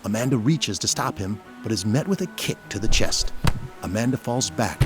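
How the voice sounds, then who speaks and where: A man's voice: on the low side, expressive, normal speed, over music. A man reading scripted dramatic narration for an audio drama.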